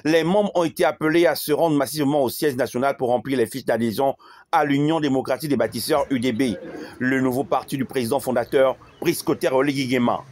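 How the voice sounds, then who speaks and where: A man narrating a news report in a formal, measured voice-over. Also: medium pitch, fairly even intonation, a little mellow, dry recording.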